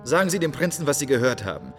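A proud young nobleman in an old classical radio drama, curt and scornfully ironic. His intonation is highly animated, and the voice rings with long reverb over music. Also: fast. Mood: proud defiance. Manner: curt, biting irony, formal theatrical delivery. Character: proud, defiant young count, scornful and independent. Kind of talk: mid-century German classical radio play acting.

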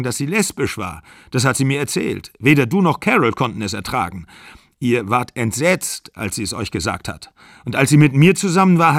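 A man's voice: medium pitch, no room sound, clean studio sound. A man voicing a furious, bitter aging actor of sixty-five who rants and accuses. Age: 60s. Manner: ranting, accusing, shouting.

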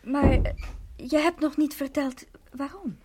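An actress playing a nosy, disapproving family friend in a radio drama, probing and opinionated in manner. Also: female voice, high voice, a little slow, noisy background. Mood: prying.

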